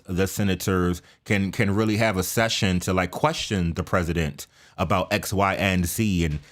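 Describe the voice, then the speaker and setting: A man's voice: on the low side, a bit bright. An American man hosting a reaction video, talking casually to his viewers with many fillers and restarts and often checking that they follow him. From United States. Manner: chatty, lots of fillers and restarts, asks viewers for agreement. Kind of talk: casual commentary to camera.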